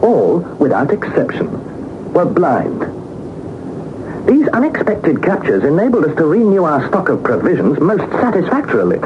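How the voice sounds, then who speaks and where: An inquisitive young man on an expedition in a 1960s radio serial. His voice is fairly high and very animated, formal and articulate as he tells the tale. The old broadcast is dull and clearly noisy. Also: normal speed. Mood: reflective, wondering. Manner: articulate, expressive, formal storytelling. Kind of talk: 1960s radio drama acting and narration.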